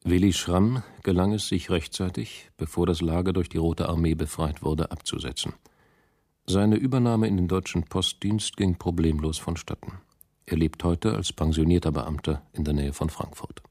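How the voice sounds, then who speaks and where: Deep, dry male radio narrator. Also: darkish tone, no room sound. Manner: matter-of-fact, measured. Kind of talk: sober documentary-like radio drama narration.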